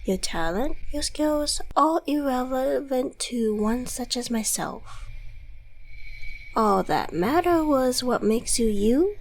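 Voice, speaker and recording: A woman's voice: high voice, lively intonation, slow pace, exaggerated voice, poor audio. A woman voicing a smug, menacing devil in an ASMR roleplay, with silky, theatrical, condescending politeness. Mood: mocking, condescending. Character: suave devil dealmaker, courteous, smug and menacing. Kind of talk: ASMR audio roleplay monologue.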